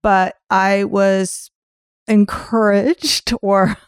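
A woman's voice: medium pitch, little pitch movement, drawn-out words, clear, resonant tone, no echo. Chatty woman podcast host, dry sound. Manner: chatty, jumps between asides and questions. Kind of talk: casual interview chat. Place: New York.

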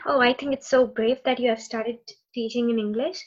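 Urdu-speaking woman, warm encouraging chat. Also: a little high, fairly even intonation, a little fast, breathy edge. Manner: encouraging, reflective, some hesitant fillers. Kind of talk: casual conversation. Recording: slightly dull lo-fi sound.

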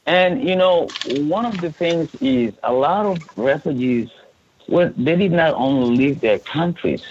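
A man's voice: on the high side, animated, measured pace, darkish tone, dull audio, a little hiss or hum. A man from Sudan who coordinates refugee support services speaks earnestly in a podcast interview, often restarting and repeating words.

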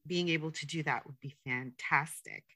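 A woman's voice: sits low, moderate pace, no room sound, clean studio sound. A woman from the United States hosting a podcast, affirming her guest often in a casual interview. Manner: warm affirming, many mm-hmms. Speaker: podcast host. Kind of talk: casual interview and show outro.

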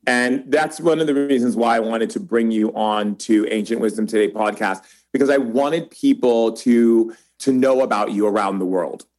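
A man's voice: mid-range voice, normal speed, few pauses, a little mellow. A man hosting a podcast, talking in an effusive, emphatic way as he introduces and interviews a guest. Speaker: podcast host.

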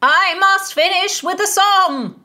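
A woman voicing a bawdy, puckish old nobleman in a storybook, bellowing verse in drawn-out theatrical declamation. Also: high voice, bright, forceful tone, voice pushed up, heavily acted. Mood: boisterous, defiant.

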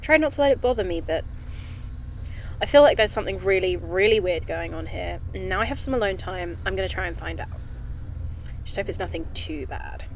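A woman's voice: on the low side, animated, brisk, slight background noise, low-quality recording. A woman playing a wry, nosy young woman in an audio drama, informal and sweary. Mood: pensive, curious. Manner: sarcastic, informal, sweary, talks to herself. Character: wry, nosy young woman stranded on a time-travelling train. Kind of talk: naturalistic audio drama acting.

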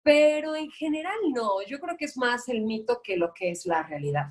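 Mexican actress, young adult woman, higher register, measured and reflective delivery. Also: lively intonation. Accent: Mexican Spanish. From Guadalajara, Mexico. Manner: thoughtful, hesitant starts, measured. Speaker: actress guest. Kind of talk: casual podcast interview.

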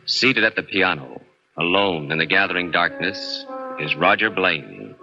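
A man's voice: low voice, lively intonation, unhurried, gravelly, pushed and bright, slightly rough. An American man announcing and narrating a 1940s suspense radio show in a solemn, ominous manner. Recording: dull 1940s radio recording. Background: music.

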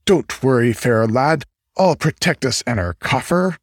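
An eager male golden retriever from an animal storybook, read by a man. He is playful and excitable, speaking expressively. The recording is dry. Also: mid-range voice, a little slow, no room sound. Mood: playfully brave.

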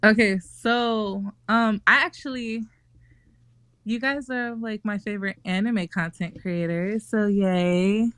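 A woman's voice: medium pitch, fairly even intonation, slow pace, a bit bright, smooth, no room sound. Chatty young woman, lots of fillers. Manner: chatty, many fillers and restarts. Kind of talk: casual group chat.

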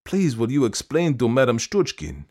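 A man's voice: mid-range voice, huge pitch swings, moderate pace, darkish tone, breathy edge, voice pushed up, exaggerated voice. A man voicing a weary, irritable male bank official in a story, curt and languid as he explains himself. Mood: exhausted, exasperated.

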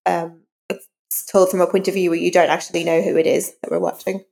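A woman's voice: lowish voice, level tone, brisk, vocal fry, a little mellow. A woman novelist being interviewed, explaining her craft in an informal, conversational way.

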